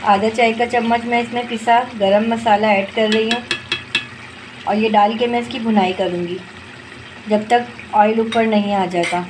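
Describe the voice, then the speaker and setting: A woman guides her viewers through a home-cooked dish in a homely, instructive way. Her voice sits fairly high. She talks over the stove, recorded on a phone above the pot, and the sizzle and stirring of the pan come through clearly behind her. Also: fairly even intonation, measured pace. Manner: homely, step-by-step instructive, addresses viewers directly. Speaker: cooking channel host. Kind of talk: home cooking tutorial narration. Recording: noisy with frying sizzle behind.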